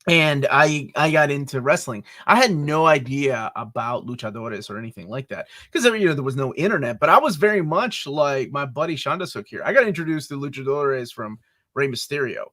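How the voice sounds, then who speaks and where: A man in his forties from Peru hosting a casual interview, excitable and joking, often jumping up in pitch when animated. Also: a little fast. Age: 40s. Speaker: podcast host.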